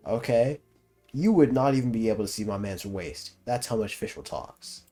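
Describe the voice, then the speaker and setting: A man's voice: lowish voice, lively intonation, moderate pace, warm tone, faint music behind. A young man giving casual commentary as he plays a video game, slangy, profane and teasing. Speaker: streamer reacting to a video game.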